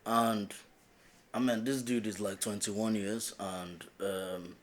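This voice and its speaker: A Nigerian man co-hosting a casual podcast chat, speaking haltingly with many restarts. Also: lowish voice, fairly even intonation. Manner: hesitant, restarts often. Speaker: podcast co-host.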